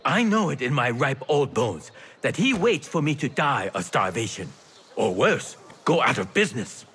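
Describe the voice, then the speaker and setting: An actor playing a wily, affectionate old tea merchant in a video game, with a theatrical, teasing, exclamatory manner. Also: male voice, mid-range voice, very animated, moderate pace, fairly bright tone, slight background noise. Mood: wry, grumbling. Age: older. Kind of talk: video game character acting.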